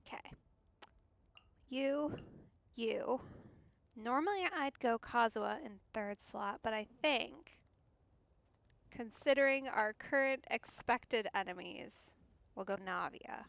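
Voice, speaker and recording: A woman streaming a video game, casually thinking aloud in a low-key, musing way as she plays. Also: slow pace, vocal fry, pauses often, a bit bright, lo-fi sound. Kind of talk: casual live game commentary. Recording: game music behind the voice. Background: video game music.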